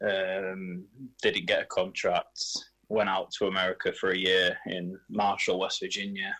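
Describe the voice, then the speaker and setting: Young male, twenties, very low voice, footballer, casual interview answers. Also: monotone, unhurried, sharp tone, rough recording. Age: 20s.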